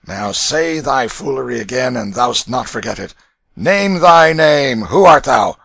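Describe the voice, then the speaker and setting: A man's voice: medium pitch, expressive, unhurried, creaky, fairly bright tone, breathy edge, no echo, pitched above the performer's own voice, heavily acted. A man voicing a brutish, hot-tempered ruffian father in a storybook, roaring and bullying in archaic English. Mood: mocking. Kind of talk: dramatic storybook reading.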